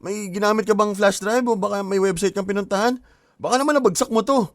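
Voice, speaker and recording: An actor playing a polite but exasperated young son in a radio drama. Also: male voice, sits high, quick pace, no room sound, clean studio sound. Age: young adult. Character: flustered young man caught in the middle. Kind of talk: Filipino radio drama acting.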